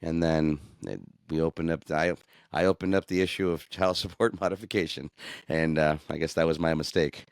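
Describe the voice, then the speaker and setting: A man's voice: very deep voice, fairly quick, clear, resonant tone, dry recording, studio quality. A middle-aged man telling his divorce story in a candid interview, earnest and restarting often, rising with indignation. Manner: earnest, animated when indignant, many restarts.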